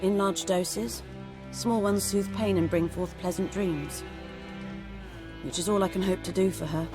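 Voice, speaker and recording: An actress playing a calm, wry female herbalist in a video game, speaking unhurriedly. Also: medium pitch, unhurried, natural voice. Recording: game music behind.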